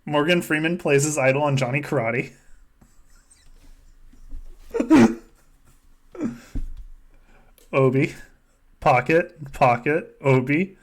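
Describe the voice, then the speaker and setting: A man's voice: fairly high pitch, animated, slow, pauses often, slight background noise. A man hosting a podcast, giving casual, joking play-by-play of a game among friends and swearing freely. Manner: joking, foul-mouthed. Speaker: podcast host running a simulated game.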